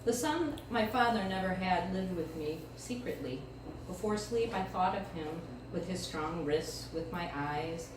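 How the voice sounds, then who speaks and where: Middle-aged American woman, featured poet at a live reading, expressive phrasing, slightly low pitch, very echoey room. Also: measured pace. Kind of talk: live poetry reading with casual introductions. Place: indoor live event space, mic far from speaker. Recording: distant, echoey room recording with clear noise.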